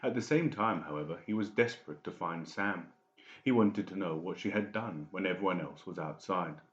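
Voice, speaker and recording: A man's voice: deep voice, moderate pace, echoey room, muffled recording. A man reading scripted, dramatic narration as an audiobook narrator.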